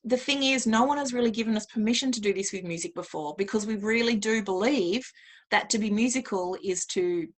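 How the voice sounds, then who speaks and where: A woman with a mid-pitched voice talks as an invited expert in an interview. She explains things conversationally and offers practical advice, illustrating her points as she goes. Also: brisk. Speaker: interview guest, music therapist.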